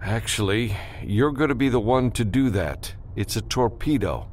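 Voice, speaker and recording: A man's voice: lowish voice, animated, normal speed, a little mellow, slight background noise, voice pushed up, natural voice. A man voicing a seasoned, unflappable male spaceship pilot with a dry, matter-of-fact manner, in a science fiction audiobook. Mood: urgent, dry.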